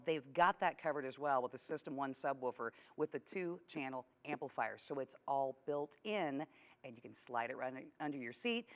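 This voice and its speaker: A woman co-hosting a car show, presenting products in a chatty, informative way. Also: deep voice.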